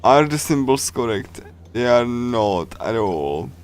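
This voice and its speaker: A man streaming a game, chatting casually and reading along in a rambling, halting way with repeated phrases. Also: mid-range voice, big pitch swings. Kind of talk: casual livestream chat while reading and translating a game.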